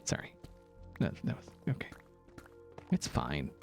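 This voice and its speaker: A man hosting a game live stream, chatting casually and thanking viewers, with a gravelly morning voice. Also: faint music behind. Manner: playful, jokey, thanks donors rapidly. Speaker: livestream host.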